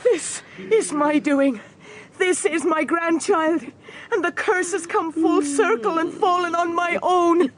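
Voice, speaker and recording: An actress playing a fierce, grieving old witch in a period audio drama, speaking with slow, tormented intensity. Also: very high-pitched. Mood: horrified, defiant.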